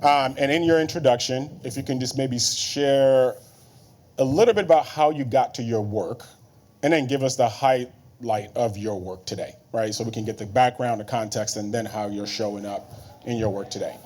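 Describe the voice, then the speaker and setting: A man from Nigeria, in his thirties, moderating a conference panel in a relaxed way with many fillers. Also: medium pitch, moderate pace, a little hiss or hum. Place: conference room.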